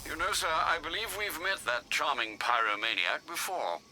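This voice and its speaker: Proper butler sidekick from a game, highish lilting voice, dry and formal, music behind. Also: male voice, big pitch swings, moderate pace, pushed and bright, a little hiss or hum, full character voice, low-quality recording. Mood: dry, informative. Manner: formal, dryly understated. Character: proper male butler aide, dry and concerned. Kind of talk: video game character acting.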